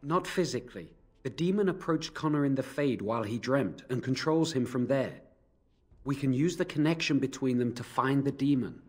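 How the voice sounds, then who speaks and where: An actor playing a guilt-ridden young mage in a video game, explaining things gravely and with reluctance. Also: male voice, mid-range voice, normal speed, natural voice. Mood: grave, reluctant. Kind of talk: dramatic video game acting.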